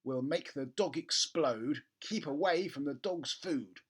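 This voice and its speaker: A man puts on an emphatic product-label voice for a children's storybook. It declares each instruction and promise like an advertisement, in a sales-pitch manner. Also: measured pace, slightly rough. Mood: emphatic, promotional.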